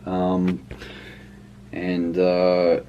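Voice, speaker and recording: Male reviewer, lower register, off-the-cuff and rambling, thinks aloud with hesitations. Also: monotone, drawn-out words, highs cut off, a little hiss or hum. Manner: hesitant, rambling delivery with trailing thoughts. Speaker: language book reviewer. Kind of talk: casual unscripted review chat.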